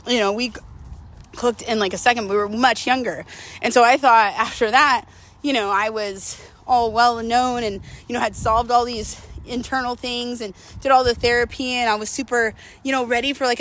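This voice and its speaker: A woman's voice: fairly high pitch, fairly bright tone. A woman talking casually and candidly to viewers as a vlogger while walking, slightly out of breath, with self-mocking asides and rhetorical questions. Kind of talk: casual confessional chat to viewers.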